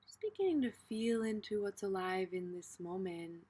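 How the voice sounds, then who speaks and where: A woman teaching a gentle guided meditation in an informal, tentative way, pausing in the middle of her phrases. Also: medium pitch, expressive, breathy edge.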